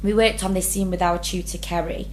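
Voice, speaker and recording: A woman's voice: lowish voice, fairly even intonation, brisk, a little mellow, slight background noise. A young woman drama student, talking earnestly through her rehearsal blog and reading each question aloud before answering. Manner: earnest, many ums, reads prompts then answers.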